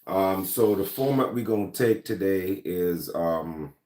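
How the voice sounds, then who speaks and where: A man's voice: low voice, unhurried, a little mellow, echoey room. A man from Jamaica with a Jamaican accent, hosting a casual livestream, greeting viewers by name with many ums. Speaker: host of a gun-talk livestream. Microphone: laptop or camera mic.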